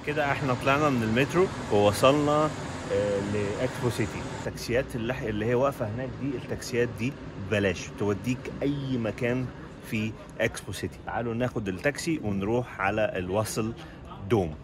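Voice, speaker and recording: A man's voice: mid-range voice, fast talker. A man in his forties with an Egyptian accent, talking casually to the camera as a travel vlogger. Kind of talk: casual vlog talk to camera. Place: open plazas and transit halls, mostly outdoors. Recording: clear outdoor ambience and vehicle noise.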